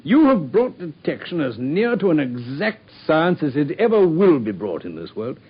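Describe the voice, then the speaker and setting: Eager, deferential doctor sidekick, husky voice, lo-fi radio sound. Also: male voice, on the high side, very animated, normal speed. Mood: admiring. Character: admiring doctor companion to the detective. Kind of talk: radio drama.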